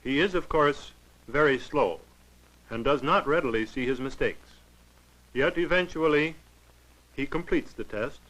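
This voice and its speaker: A man narrating a 1940s educational film in a formal, clinical, measured way. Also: fairly high pitch, a bit bright, rough recording.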